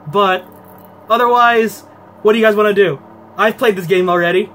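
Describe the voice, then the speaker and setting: A man's voice: sits high, normal speed, bright, forceful tone, a little hiss or hum. A man hosting a game playthrough, chatty and giggly, talking with his guests. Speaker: let's play host. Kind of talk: casual game commentary.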